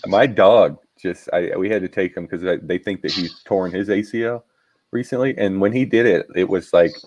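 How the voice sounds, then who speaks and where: A man in his thirties, co-hosting a casual podcast, halting and trailing off mid-thought. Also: sits low, darkish tone, highs cut off. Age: 30s. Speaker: podcast co-host. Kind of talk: casual chat.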